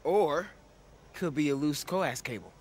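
A man's voice: on the high side, expressive, a little slow, slight background noise. A man playing an earnest, unsure American teenage boy in a video game, polite and hesitant. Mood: polite, reserved. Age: young adult. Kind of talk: video game cutscene acting.

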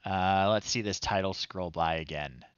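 A man in his thirties hosting a casual livestream, talking in an unhurried, amused, halting way. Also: low voice, smooth, no echo, dull audio. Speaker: livestream host. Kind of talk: casual livestream commentary, reading children's stories aloud. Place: small home room, indoors.

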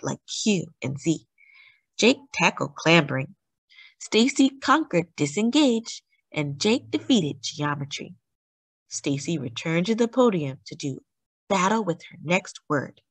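A woman reading a children's picture book aloud as a storyteller, with deliberate, dramatic emphasis on key words. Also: on the low side, slightly rough, dry recording. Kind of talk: expressive read-aloud narration for children.

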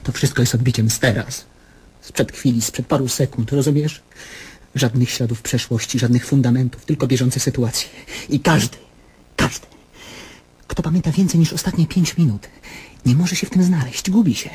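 An actor playing an anguished patient desperately searching for truth, intense and brooding, with a husky voice, in a radio drama. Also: male voice, medium pitch, normal speed, darkish tone. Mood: intense, troubled.

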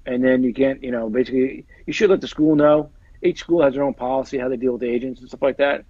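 Rapid-talking man in his forties, husky. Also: mid-range voice, steady tone, no room sound. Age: 40s. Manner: rambling, repeats phrases, many fillers. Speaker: football agent and guest. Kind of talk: casual interview chat. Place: small room indoors. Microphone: earbuds on a video call. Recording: dull lo-fi video call audio.

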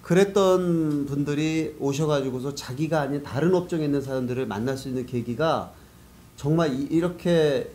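A man's voice: fairly high pitch, moderate pace, darkish tone, a little hiss or hum. A man giving explanatory, conversational answers as a guest in a business interview. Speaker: guest, business network organizer.

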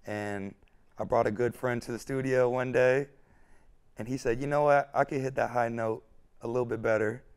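A man's voice: medium pitch, steady tone, moderate pace, clean tone. A young man in his twenties, a hip-hop artist, talking earnestly and reflectively about his music in an interview, recorded on a lapel mic in a studio set. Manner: earnest, reflective, repeats himself. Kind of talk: candid interview talk. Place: studio set with couch and neon lights.

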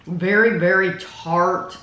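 A woman's voice: lowish voice, fairly even intonation, takes it slowly, fairly bright tone. A middle-aged woman giving a casual, emphatic food review, repeating words for stress. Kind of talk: casual taste-test chat. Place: indoor room at a wooden table. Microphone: camera across the table. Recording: echoey room.

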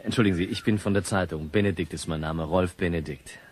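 Reporter, male actor: probing yet polite, lowish male voice, natural conversational radio-play delivery. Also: fast, darkish tone, slight background noise, natural voice. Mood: curious, polite.

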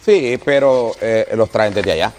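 A man's voice: on the low side, a little slow, darkish tone, faint music behind. A man in his fifties with a Honduran accent, anchoring a live news show in colloquial, exasperated style. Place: TV news studio. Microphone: lapel mic.

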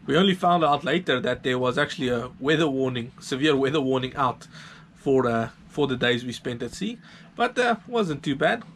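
A man's voice: medium pitch, animated, normal speed, fairly bright tone, a little hiss or hum. A South African man, around his thirties, narrating a sailing vlog in an informal, laid-back way. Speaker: sailing vlogger.

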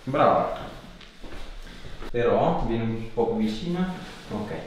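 Man, 30s, chiropractor, short directive phrases, mid-pitched voice, heavy room echo, faint noise. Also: darkish tone. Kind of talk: hands-on instructions to a patient during treatment. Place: small indoor treatment room.

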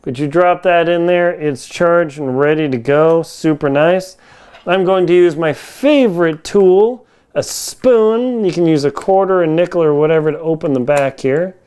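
A man's voice: a little high, moderate pace, darkish tone, smooth. A man in his forties giving a casual, hands-on gear review in informal, folksy wording, recorded indoors on a lapel mic. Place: home kitchen, indoors.

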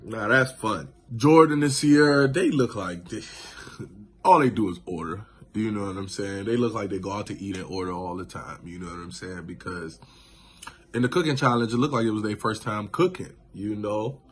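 A man in his thirties recapping a show on his vlog, laid-back and animated, from a small room indoors into a phone camera. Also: lowish voice, moderate pace, breathy edge. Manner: laid-back, animated, lots of "you know what I'm saying". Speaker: vlogger. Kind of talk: casual reaction vlog.

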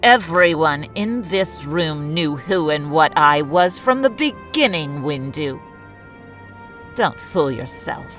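A woman playing a sardonic, defiant former dark-side warrior in an audio drama, curt and insolent. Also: deep voice, expressive, slow, full character voice. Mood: angry, defensive. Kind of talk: fan audio drama acting. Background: music.

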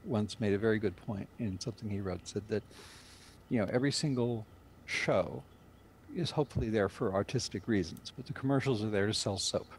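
A man's voice: on the low side, fairly quick, slight background noise. An older man speaking as a writer and director in an interview over a group video call. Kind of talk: interview discussion of a radio drama.

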